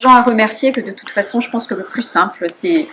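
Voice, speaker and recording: A woman's voice: medium pitch, a little fast, over a noisy crowd, heavy background noise. Encouraging woman announcing dates. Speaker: event organizer. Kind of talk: public announcement at a charity event.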